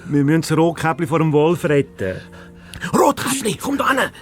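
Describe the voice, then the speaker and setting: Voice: mid-range voice, very animated, normal speed, faint music behind, lightly acted. An actor playing a naive, good-hearted hedgehog detective's sidekick with a Swiss German accent, eager yet hesitant, in a children's radio play. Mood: earnest, urgent. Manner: hesitant, artless, easily amazed.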